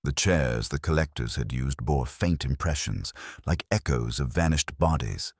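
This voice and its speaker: A man narrates a detective story in an extremely low voice with a husky edge. His pitch moves in an animated way, and the recording is dry and close, as in an audiobook. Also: a little slow, no echo.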